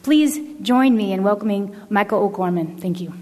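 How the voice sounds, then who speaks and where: A woman's voice: mid-range voice, normal speed, long reverb tail, very noisy. A woman hosting a workshop, reading a polite, scripted introduction with occasional fillers. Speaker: workshop host introducing a speaker.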